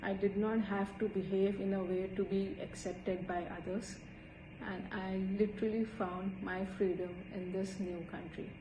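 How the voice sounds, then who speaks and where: A woman's voice: mid-range voice, level tone, moderate pace. Indian woman telling a personal story. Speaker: student presenter. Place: plain room indoors. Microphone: laptop camera at desk. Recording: echoey room with clear hiss.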